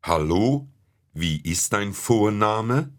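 A man asking plain, friendly questions in a slow scripted language-course dialogue. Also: lowish voice, expressive.

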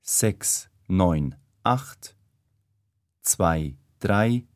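Deep, very slow man answering questions. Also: animated, no echo, studio quality. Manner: measured, deliberate answers. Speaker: learner in a scripted dialogue. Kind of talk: slow scripted language-course dialogue.

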